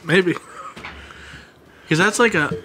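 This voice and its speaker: Easygoing teasing man, higher voice. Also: slow, pushed and bright. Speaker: co-host. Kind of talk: casual chat while tasting hot sauces. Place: small wood-paneled studio room. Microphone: studio mic on desk stand. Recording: noisy live stream audio.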